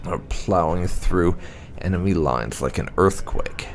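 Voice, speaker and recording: A man's voice: low-pitched, unhurried, slight background noise. A man reading game lore entries aloud as scripted narration. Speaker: gaming channel host.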